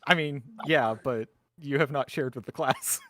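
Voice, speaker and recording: A man's voice: medium pitch, moderate pace, fairly bright tone, dry recording, clean studio sound. A man talking casually as a player in a tabletop roleplaying game.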